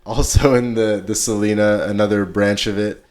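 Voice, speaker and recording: Low-voiced man, casual podcast host. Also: flat delivery, moderate pace, a little mellow. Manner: laid-back, slangy.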